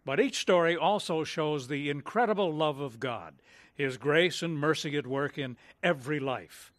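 A man's voice: fairly high pitch, normal speed, dry recording. A man reading scripted narration and appeals as a radio announcer.

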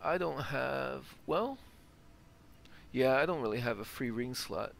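Male gaming host, medium pitch, playthrough commentary, reads text aloud, faint game ambience. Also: animated, a little slow, gravelly, slight background noise.

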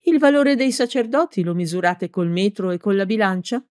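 A woman voicing an old male bishop in a storybook, courteous, measured, patient and gently chiding. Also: medium pitch, animated, no room sound, clean studio sound, voice pushed up, lightly acted. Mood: gracious.

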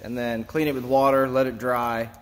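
A man's voice: medium pitch, moderate pace. Casual young man explaining a garage repair. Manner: relaxed, matter-of-fact explaining. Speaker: garage vlogger. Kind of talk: casual chat while working. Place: shop and open garage bay. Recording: music under voice.

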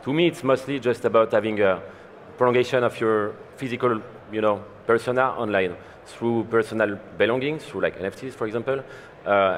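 A man in his thirties with a somewhat low voice explains his views as a conference panelist. He sounds relaxed and speaks through a headset mic. A long hall reverb and faint hum surround him. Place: large conference hall stage.

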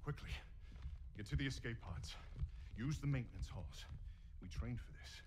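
A man playing a towering, stern alien Jedi master in a video game, measured, formal and commanding. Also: medium pitch, noisy background, close to an everyday voice. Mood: urgent, grave. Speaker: mentor. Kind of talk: video game cutscene acting.

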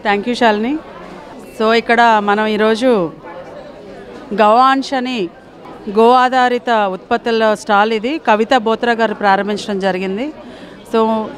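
A middle-aged woman hosting an expo, introducing stalls and explaining products on a handheld mic in a large exhibition hall. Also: a little high. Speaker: host. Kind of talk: on-the-spot expo interviews. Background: crowd chatter.

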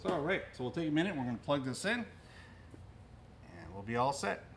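A man's voice: a little high, lively intonation, a little fast, creaky. A middle-aged man giving a casual, explanatory product demonstration in plain-spoken style, talking as he handles the gear. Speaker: store presenter demonstrating audio gear. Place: indoors in a cluttered audio equipment store. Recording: noisy room recording with clicks.

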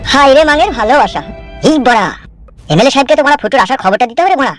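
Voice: high voice, expressive, very fast, a bit bright, faint music behind. A performer voicing a scolding, streetwise young man in a comedy cartoon. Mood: scheming.

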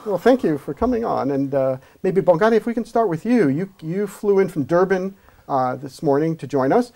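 A man's voice: high-pitched, lively intonation, moderate pace, creaky, warm tone. A middle-aged man hosting a studio interview show, inviting his guests to speak. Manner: friendly, inviting questions. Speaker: host.

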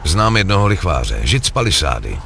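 An actor playing a cool, ruthless gangster in a video game, talking in coarse, persuasive slang. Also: male voice, deep voice, sing-song, vocal fry, pushed and bright, a little hiss or hum, full character voice. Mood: businesslike, persuasive. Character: scheming male gangster pitching a drug deal, cool and ruthless. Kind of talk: acted video game dialogue.

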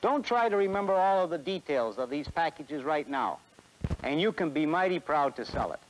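High-voiced American male sales presenter. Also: lively intonation, moderate pace, slight background noise, poor audio. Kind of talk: scripted sales presentation, 1960s promotional film. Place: film studio beside a truck.

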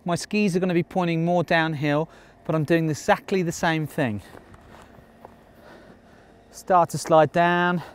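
A man in his thirties teaches skiing out on a snowy slope, his voice sitting a little high. He explains plainly and upbeat, with the odd dry joke, into a clip-on mic. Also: fairly even intonation, a little slow, long pauses, clear, resonant tone. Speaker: ski instructor. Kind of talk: on-slope ski lesson. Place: outdoors on a snowy ski slope.

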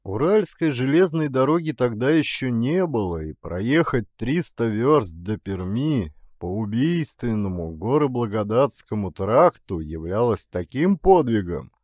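A man narrating a literary story as an audiobook actor, with deliberate, dramatic phrasing and weighty pauses. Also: mid-range voice, very animated, darkish tone, no room sound, clean studio sound.

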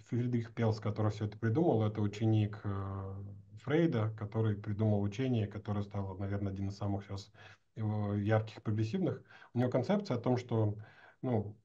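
A man around forty with a lowish, very dark and relaxed voice. He explains casually as a host, and the video-call audio sounds a little dull. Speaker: host of online meeting. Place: small indoor room at a desk.

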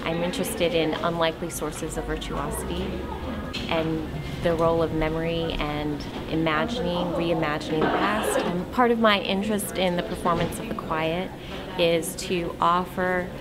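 A woman in her thirties speaking reflectively in measured phrases with pauses, as in an interview or poetry reading. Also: on the low side, level tone, over loud music. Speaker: choreographer, dancer and poem reader.

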